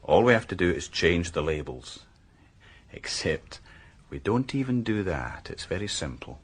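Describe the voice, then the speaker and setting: A shrewd senior detective in a radio crime drama. His voice is low and husky. He lays things out in a measured, assured way, sure of himself. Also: very animated, full character voice. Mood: sly, confident. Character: shrewd, confident male senior detective planning a trap. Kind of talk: naturalistic radio drama dialogue.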